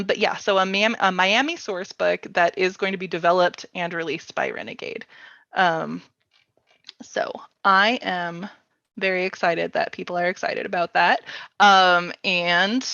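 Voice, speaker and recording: A woman's voice: medium pitch, creaky, bright, forceful tone, dry recording, muffled recording. A woman hosting a casual livestream workshop, speaking informally and hesitantly with plenty of filler "like"s. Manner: informal, hesitant phrasing with many "like"s. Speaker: host of a publisher's livestream workshop. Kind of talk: casual workshop chat, reading viewer questions.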